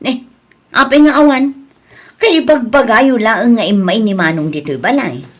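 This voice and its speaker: A woman playing an indignant, suspicious grown daughter in an audio drama, firing off sharp questions. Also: a little high, unhurried. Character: indignant, suspicious daughter challenging her father.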